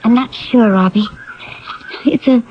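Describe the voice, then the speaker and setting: A woman's voice: a little high, unhurried, close to an everyday voice. Actress as guarded, devoted young woman, muffled radio. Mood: earnest, wistful. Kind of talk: 1970s radio drama acting. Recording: dull vintage radio recording.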